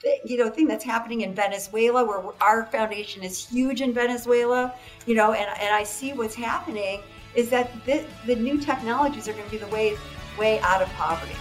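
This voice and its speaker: A woman's voice: on the high side, brisk, distant mic, faint music behind, poor audio. A woman being interviewed as a foundation founder, talking enthusiastically and often tagging sentences with "right?" and "you know". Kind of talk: interview conversation.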